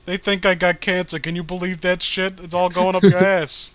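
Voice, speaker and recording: A young man co-hosting a casual comedic read-along of a comic, excitable and swearing, often stumbling over his words. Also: sits high, moderate pace, a crowd in the background. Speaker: co-host reading a comic aloud.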